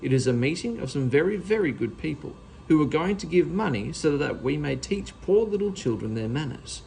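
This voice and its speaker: A man voicing a stern, easily scandalised older aunt with a sharp, scolding manner, in a children's storybook reading. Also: a little high, expressive, brisk, vocal fry, slight background noise, rough recording. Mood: instructing.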